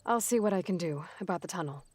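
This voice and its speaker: A woman's voice: lowish voice, expressive, fast talker, darkish tone, no echo. A woman voicing a level-headed, skeptical young heroine in a video game, questioning in a pointed, understated way. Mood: cool, dry. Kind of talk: video game cutscene dialogue.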